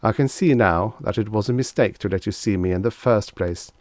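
A man voicing a formal, earnest novice agent of death in a story reading, with a stiff, polite, measured delivery. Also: on the low side, no room sound, studio quality, lightly acted. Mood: agreeable.

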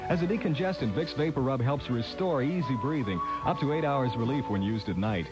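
A man's voice: mid-range voice, lively intonation, a little fast, low-quality recording. A man reading a scripted 1980s radio commercial as announcer, on an old cassette recording. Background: loud music.

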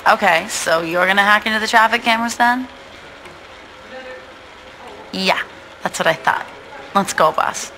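A stubborn young gang lieutenant from a video game. Her medium-pitched voice is crisp and forward, sassy and casual in delivery. Light ambience runs underneath. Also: unhurried, long pauses, bright, forceful tone, slight background noise, low-quality recording. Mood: teasing. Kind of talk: video game cutscene acting.

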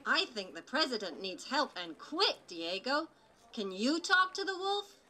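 A woman voicing an excitable little girl adventurer in a live puppet show, exclamatory and sing-song. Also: high-pitched, a little slow, heavily acted. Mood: urgent. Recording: faint outdoor background noise.

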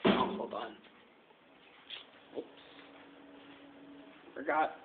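A man giving a casual, matter-of-fact tour of his home basement, filmed on a handheld phone. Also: extremely slow. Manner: plain, matter-of-fact, pointing things out. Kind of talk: casual walk-through tour. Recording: tinny phone-like audio with clear background noise.

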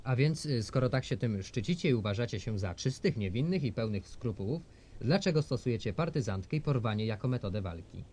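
An actor playing a formal, measured newsreader and political interviewer in a scripted drama. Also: male voice, medium pitch, lively intonation, a little mellow, natural voice. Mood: formal questioning. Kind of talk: scripted broadcast announcing in a drama.

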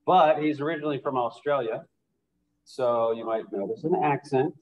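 A man's voice: mid-range voice, moderate pace, a little hiss or hum, poor audio. A man, a teacher, casually and enthusiastically introducing a guest speaker to his class over a video call.

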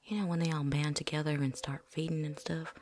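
A woman's voice: sits low, little pitch movement, a little mellow, slight background noise, natural voice. A woman playing a chatty, cocky, seasoned vampire hunter in a whispered ASMR roleplay, rambling folksily. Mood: chatty, reminiscing. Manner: folksy, rambling, with drawn-out asides.

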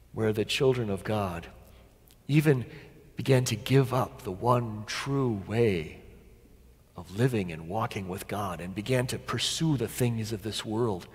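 A middle-aged man preaching a sermon as a pastor, deliberate and dramatic, with pauses and emphatic repetition. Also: lowish voice, breathy edge. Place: large church sanctuary, at the pulpit. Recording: long church reverb.

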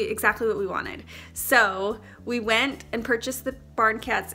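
A woman's voice: on the high side, sharp tone. A young woman vlogging about her home, talking chattily and upbeat straight to the camera through an earbud mic. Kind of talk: casual vlog chat to camera. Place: indoors, living room with ceiling fan. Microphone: wireless earbud mic.

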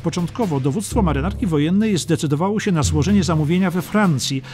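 Man narrating history over music, higher-pitched voice. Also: fast talker, a little mellow. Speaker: audiobook narrator. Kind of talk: scripted historical documentary narration. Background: music under the narration.

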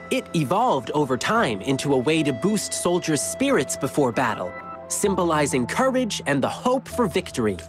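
A young man voicing an earnest, eager lion dance performer in video game story dialogue, explaining things in an encouraging way. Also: a little high. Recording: game music behind voice. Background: music.

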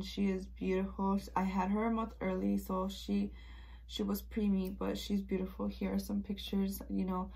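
A woman's voice: medium pitch, darkish tone, low-quality recording. A nineteen-year-old woman from the Chicago area, chatting earnestly to the camera while doing her makeup and using many fillers like "you know". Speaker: beauty vlogger. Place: small indoor room in front of a painted brick wall.

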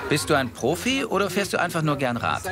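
A man's voice: fairly high pitch, very animated, a little fast. A young man voicing a documentary subject in a German dub, chatty and eager to introduce himself.

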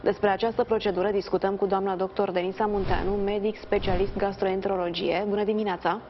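A woman's voice: mid-range voice, level tone, faint music behind. A woman in her thirties presenting morning news and putting formal questions to a guest from a studio. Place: indoors in a TV studio. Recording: slightly dull sound, faint background noise.

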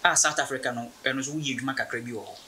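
Ghanaian man, thirties, interviewer, exclaims loudly, bright voice, indoor sofa chat. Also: medium pitch, fairly even intonation, rapid-fire, a little hiss or hum. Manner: reactive, exclaims loudly, laughs often. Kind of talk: casual interview. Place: indoor room, seated on sofa.

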